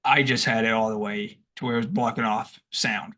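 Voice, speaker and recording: A young man co-hosting a podcast, telling stories in a casual, animated way and acting out what he shouted. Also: lowish voice, a little fast. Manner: animated, acts out past shouts; rambling with restarts and laughs. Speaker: podcast co-host. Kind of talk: casual storytelling chat.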